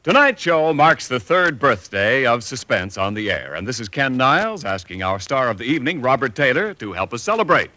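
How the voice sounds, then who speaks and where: Lively 1940s radio announcer, bright and dry. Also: male voice, mid-range voice, no room sound. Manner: brisk, emphatic salesman delivery.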